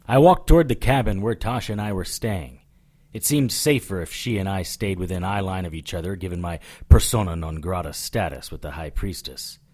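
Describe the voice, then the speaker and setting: A man's voice: sits low, fairly quick, darkish tone. A man playing a wry, defiant supernatural investigator who narrates his case in an audio drama, dry and firm. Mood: wry narration. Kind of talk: first-person detective audio drama.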